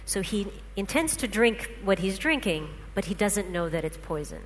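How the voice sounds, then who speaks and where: A woman's voice: medium pitch, animated, moderate pace. A woman, a native Russian speaker, explaining patiently with examples in a talk to a panel. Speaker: speaker presenting research. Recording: hall reverb, faint hum.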